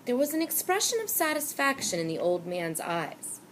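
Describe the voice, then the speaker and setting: Expressive woman reading a children's book. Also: on the high side, normal speed, a little hiss or hum, rough recording. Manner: expressive, animated storytelling. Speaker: reader. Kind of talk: reading a children's novel aloud.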